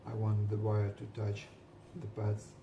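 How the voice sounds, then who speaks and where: A man with a Ukrainian accent, whose first language is Ukrainian, narrating a soldering tutorial and pausing to search for words while he works. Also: fairly low pitch, completely flat delivery, roomy sound, recorded from a distance, muffled recording, background noise. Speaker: DIY builder.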